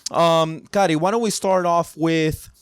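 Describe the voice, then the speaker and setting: A man's voice: fairly high pitch, fairly even intonation, measured pace, smooth, no room sound, clean studio sound. A man hosting a casual podcast interview, joking loosely and often repeating himself as he talks. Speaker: podcast host.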